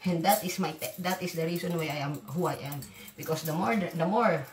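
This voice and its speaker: A woman's voice: fairly low pitch, a little fast. A woman from the Philippines with a Filipino accent, a native Waray speaker, rambling bluntly and swearing freely while cooking in a casual home vlog. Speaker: vlogger. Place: home kitchen. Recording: echoey room from far off, loud sizzling.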